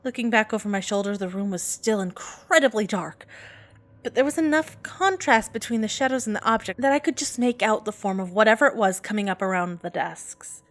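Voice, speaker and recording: A woman narrating a scripted first-person horror story in an expressive, dramatic storytelling manner. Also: medium pitch, a little fast. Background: background music.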